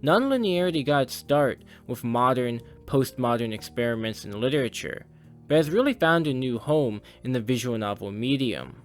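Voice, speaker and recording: A man reads a scripted video essay in a measured, formal, articulate way. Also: medium pitch, lively intonation. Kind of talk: scripted narration. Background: music under the voice.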